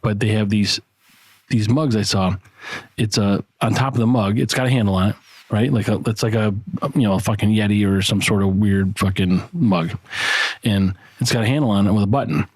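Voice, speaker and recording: A man's voice: fairly low pitch, a little fast, darkish tone, no room sound. A man co-hosting a podcast in crude, casual banter, blunt and teasing, with heavy swearing. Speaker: podcast co-host.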